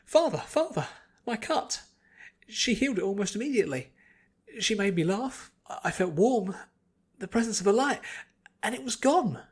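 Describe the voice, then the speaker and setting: A man voicing a flustered, excitable young priestess with urgent, halting delivery in an audiobook story. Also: high voice, breathy edge, voice pushed up. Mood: excited, amazed.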